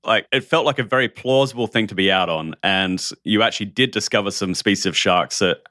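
Low, bright man interviewing. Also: a little fast, no room sound, studio quality. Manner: rambling, hesitant questions. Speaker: interviewer, critic. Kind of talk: casual interview chat.

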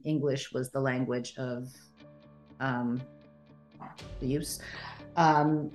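A woman playwright who grew up in Sweden and whose first language is Bulgarian, talking through her work in a casual interview, thoughtful, with many fillers and restarts. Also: low voice. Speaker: playwright and adapter.

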